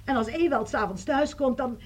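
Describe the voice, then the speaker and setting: A woman's voice: high-pitched, brisk, slightly rough. An actress playing a talkative, defensive woman friend, chatting on the phone in a radio play. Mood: chattering. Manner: chatty, defensive, self-justifying.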